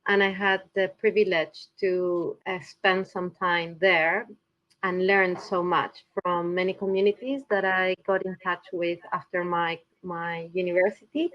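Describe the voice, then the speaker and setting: A woman's voice: lowish voice, flat delivery, unhurried, dull audio. A woman, speaking remotely as a guest in a podcast interview, thoughtful and often restarting as she searches for words. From Peru. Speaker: guest climate researcher. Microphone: video call.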